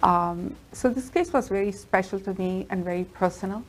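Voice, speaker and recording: A woman lawyer, a native Telugu speaker, answering interview questions in an earnest, steady storytelling manner. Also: mid-range voice, moderate pace, slight background noise, poor audio. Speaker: immigration lawyer.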